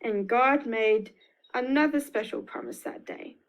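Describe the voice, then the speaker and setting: Aussie lady doing kids storytime, slow n expressive, highish voice, repeats words. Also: slight background noise. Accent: Australian. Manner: expressive, emphatic repetition with sound effects. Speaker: children's storyteller. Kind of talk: picture-book read-aloud for young children.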